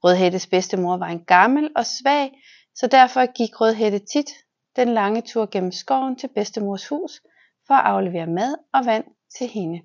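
A young woman, a children's physiotherapist, reading a fairy tale aloud in a large exercise room, lively voices for the characters. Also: a little high, dull audio. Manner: lively voices for the wolf and girl.

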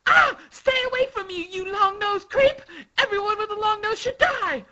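Man putting on a shrill bratty villain girl. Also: fairly quick, sharp tone, highs cut off. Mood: furious, bossy. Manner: shrill, imperious outbursts. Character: bratty, furious villain girl with toy-making powers.

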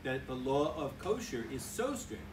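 Older priest preaching in a roomy church hall. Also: male voice, mid-range voice, big pitch swings, moderate pace, recorded from a distance, background noise. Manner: conversational, acts out little dialogues. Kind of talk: sermon. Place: large church hall with altar. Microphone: stand mic at a lectern, filmed from far.

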